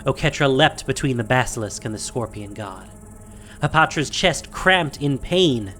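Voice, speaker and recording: A male narrator tells a fantasy story in a measured, dramatic way. His voice sits at a medium pitch, with faint music underneath. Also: animated, fairly bright tone.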